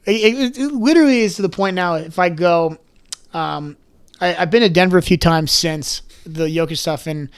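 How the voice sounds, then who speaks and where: A young man, a comedian guest on a podcast, telling stories in casual chat and slipping into quick quoted voices. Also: high voice, smooth. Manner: animated storyteller who slips into quick quoted voices.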